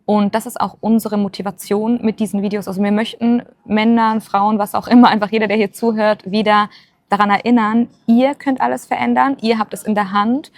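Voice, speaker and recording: A woman's voice: medium pitch, fairly even intonation, fairly quick, no echo. A woman in her late twenties talking earnestly and encouragingly in a casual chat, with many filler sounds. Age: 20s. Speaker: co-host.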